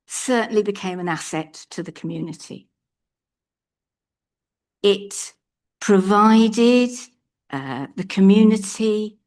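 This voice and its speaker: An older woman giving an earnest, measured statement at a council meeting. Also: mid-range voice, a little mellow. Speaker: public speaker at a council meeting. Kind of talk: prepared public statement. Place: council chamber.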